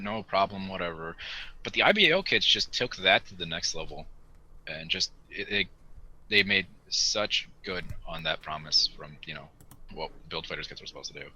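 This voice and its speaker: A man's voice: low voice, sharp tone, slight background noise, rough recording. A man talking casually as a panelist on a hobby podcast.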